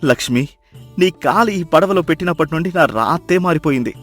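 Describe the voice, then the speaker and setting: A man's voice: on the high side, animated, a little fast, a bit bright, faint music behind. A man playing a poor, devoted fisherman husband in a moral story, speaking earnestly and with dramatic emphasis. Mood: tender, awed. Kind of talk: acted moral-story dialogue.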